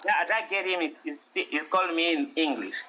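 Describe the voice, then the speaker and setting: This man has a French-speaking African accent and a slightly higher, very bright voice. He speaks earnestly and haltingly, with a preacher's conviction. There is a faint hum behind him. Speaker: caller. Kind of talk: live debate call-in.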